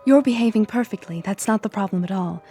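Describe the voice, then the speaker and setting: An actress playing a gentle, uneasy alien woman in a radio drama, speaking with careful earnestness. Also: mid-range voice, fast talker, faint music behind, close to an everyday voice. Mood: gentle, guarded.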